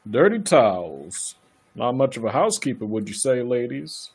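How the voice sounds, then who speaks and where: A man playing a brisk, condescending county attorney in a live radio play, officious and patronizing. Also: medium pitch, sing-song, gravelly, background noise.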